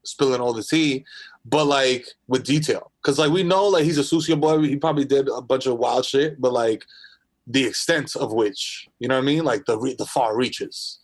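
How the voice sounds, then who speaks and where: A young man with Dominican family roots co-hosting a casual comedy podcast, slangy and quick to laugh. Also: mid-range voice. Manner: slangy, excitable, laughs often. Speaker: podcast co-host.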